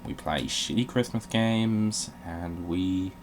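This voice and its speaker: A man with a fairly low voice gives casual video game commentary at a very unhurried pace. He comes across as dry and self-mocking, grumbling as he plays. There is some faint noise in the background. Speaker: video game show host. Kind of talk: casual gaming let's-play commentary.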